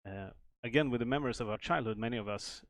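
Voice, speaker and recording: Game developer explaining. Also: male voice, medium pitch, fast talker, fairly bright tone, dry recording, studio quality. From Sweden. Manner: explains at length with many fillers and restarts. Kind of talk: stream interview.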